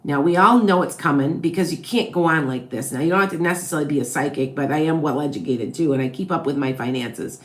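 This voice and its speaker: Low-voiced Midwestern woman psychic. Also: quick pace, few pauses. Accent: Wisconsin and Chicago blend. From American Midwest. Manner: chatty, ends points with "okay? all right?". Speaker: psychic tarot reader. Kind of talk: live-stream reading answering viewers.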